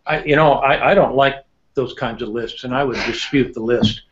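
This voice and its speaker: A man's voice: medium pitch, moderate pace, background noise. A man, a law professor, giving his opinion on a webinar panel, repeating words as he builds his point. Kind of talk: panel discussion answer. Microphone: video call. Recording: dull lo-fi video call audio.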